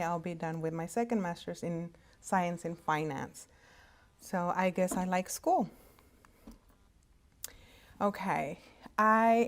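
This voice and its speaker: A woman whose first language is Spanish, giving a casual career talk to students in a conversational, halting way, over a video call. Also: fairly low pitch. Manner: conversational, halting with frequent fillers and restarts. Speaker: hospital interpreter services director. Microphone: video call setup.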